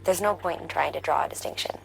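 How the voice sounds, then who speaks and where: An actress playing a calm, enigmatic scientist in a game, speaking in a measured, solemn way. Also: female voice, low-pitched, creaky, faint music behind, low-quality recording. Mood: serene, cryptic. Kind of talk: video game dialogue.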